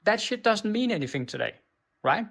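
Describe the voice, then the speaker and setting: A man with a Danish accent coaches on business in a blunt, profane monologue, his voice pitched a little high. He tacks "right?" onto his points and trips over words, then just keeps going. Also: sing-song, normal speed, vocal fry, a bit bright. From Denmark. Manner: stumbles and restarts words, unbothered. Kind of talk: direct-to-camera monologue.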